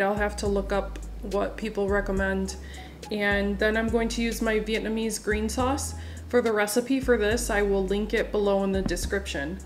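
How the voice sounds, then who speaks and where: A woman vlogger talking through her cooking in a home kitchen, relaxed and thinking aloud with fillers. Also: medium pitch. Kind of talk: casual cooking narration. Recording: music under the voice. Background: background music.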